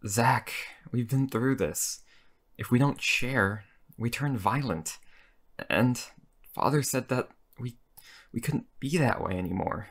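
A man performs a gentle, needy horseman of famine for an ASMR roleplay. He speaks very slowly and softly, hesitating and pleading like a timid sweetheart. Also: medium pitch. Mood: gentle chiding. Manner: soft, hesitant, pleading with stammers and repeats. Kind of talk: boyfriend ASMR comedy roleplay.

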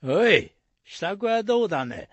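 A man's voice: high-pitched, very animated, a little slow, a bit bright, breathy edge, no echo, full character voice. An actor playing a genial, easygoing village headman with polite, avuncular rural speech in a radio drama. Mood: genial, businesslike.

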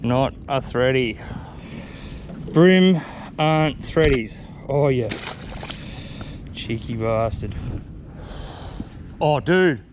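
An Australian man chatting excitedly and casually in slang with a friend while out on a small fishing boat. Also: mid-range voice, extremely slow, long pauses. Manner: laid-back slang, often laughing and exclaiming. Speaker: fisherman filming a fishing vlog. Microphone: action camera worn on the body. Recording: outdoor boat noise, engine and water.

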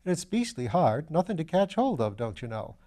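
A man voicing a flustered, slow-witted young gentleman, exclaiming in muddled fashion, in a storybook reading. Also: a little high, big pitch swings, pitched above the performer's own voice. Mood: befuddled, excited.

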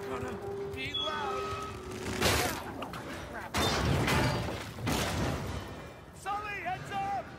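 A man voicing a wisecracking, excitable American treasure hunter in his thirties in a video game. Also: extremely high pitch, very noisy, exaggerated voice. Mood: straining, shouting. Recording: loud music behind game dialogue.

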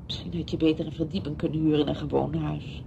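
An actress playing a chatty, well-meaning visitor in a radio drama, with a coaxing, fussing manner. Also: female voice, little pitch movement, fast, gravelly, dull audio. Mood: chatty, probing. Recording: noisy old radio play recording, low rumble.

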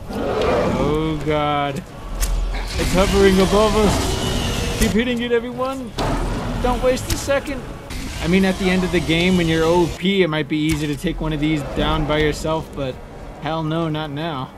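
A man giving casual, informal and sweary commentary as he plays a fantasy video game. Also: a little high. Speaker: gaming video creator. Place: indoor room, webcam gaming setup. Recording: noisy, game sounds behind voice.

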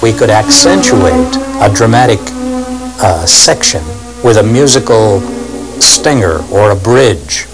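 An older man, a former radio actor, giving deliberate, explanatory reminiscences as documentary commentary. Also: lowish voice, big pitch swings, music in the background.

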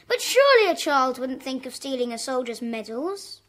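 An actress playing an earnest, polite, kind English schoolboy in a children's audio drama. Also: female voice, sits high, sing-song, moderate pace, fairly bright tone. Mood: curious, polite.

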